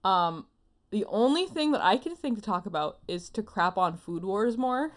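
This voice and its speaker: A woman's voice: medium pitch, moderate pace. A woman co-hosting a casual anime podcast, speaking expressively with emphatic delivery. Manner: expressive, exasperated emphasis, laughs readily. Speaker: podcast co-host. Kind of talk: casual chat about anime.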